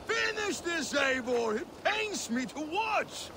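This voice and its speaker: An actor playing a gruff, mocking Danish warrior in a video game, blunt and taunting in his talk. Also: very high-pitched, sing-song, takes it slowly, full character voice. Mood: scornful. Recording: game audio with ambient noise.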